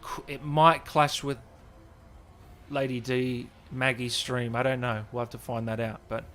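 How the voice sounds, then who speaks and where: A man's voice: medium pitch, level tone, a little slow, a bit bright, a little hiss or hum. He is a male livestream host with a mid-range voice. He chats casually to his audience as if to friends, in an informal, chummy style. The delivery is unscripted and conversational. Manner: chummy, informal, addressing viewers as guys and brother. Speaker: host of a gaming channel livestream. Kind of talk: casual livestream chat to viewers.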